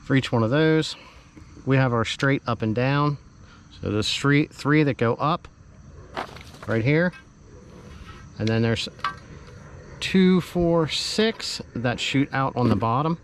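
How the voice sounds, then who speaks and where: A man giving a casual, off-the-cuff unboxing commentary, halting and thinking aloud as he handles parts with a camera in hand. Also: mid-range voice. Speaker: hobbyist vlogger doing a product unboxing. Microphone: handheld camera held while working, varying distance. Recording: noisy handheld camera audio, clear background noise.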